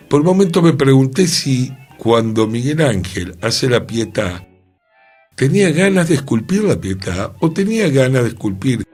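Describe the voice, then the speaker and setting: A man co-hosting a cultural radio program, reflecting aloud in a slow, musing way. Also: fairly low pitch, darkish tone. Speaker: radio co-host. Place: radio studio.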